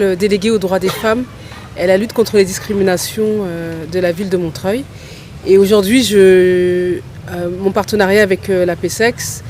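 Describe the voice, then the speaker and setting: A middle-aged woman introducing herself as a guest, speaking in a halting, hesitant way. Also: mid-range voice, darkish tone, a little hiss or hum. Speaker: municipal councillor, teacher.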